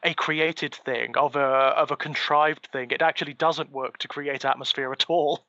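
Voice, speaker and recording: A man's voice: a little high, fairly even intonation, moderate pace, sharp tone, no echo, highs cut off. A man co-hosting a podcast, chatting casually in an articulate, eloquent way. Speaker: podcast co-host.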